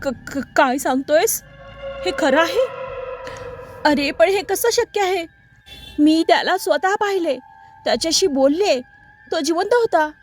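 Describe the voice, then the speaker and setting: Woman voicing a high-pitched, brave storybook nanny goat. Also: animated, measured pace, pauses often, faint music behind. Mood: frightened disbelief. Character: brave, determined female goat who questions and rallies animals. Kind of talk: animal storybook character voice.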